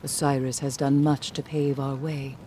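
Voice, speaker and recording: An actress playing an earnest, composed woman leader, speaking formal, measured lines in a video game. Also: a bass voice, flat delivery, warm tone, a little hiss or hum. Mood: earnest, concerned. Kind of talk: scripted video game dialogue.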